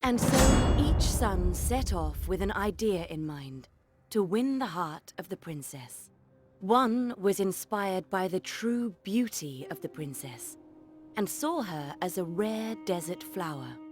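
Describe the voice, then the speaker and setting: A woman acting as a solemn storyteller, narrating fantasy legends for a video game in measured phrases. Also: medium pitch, animated, a little mellow, soft background music. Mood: solemn storytelling.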